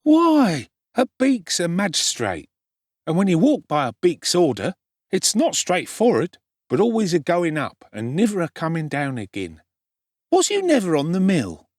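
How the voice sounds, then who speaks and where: Swaggering Cockney urchin for a classic audiobook: high, wildly animated delivery, brash and knowing. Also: male voice, unhurried, no room sound, studio quality, heavily acted. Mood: mocking disbelief. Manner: brash, slangy, self-assured. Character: cocky streetwise Cockney boy, swaggering young pickpocket.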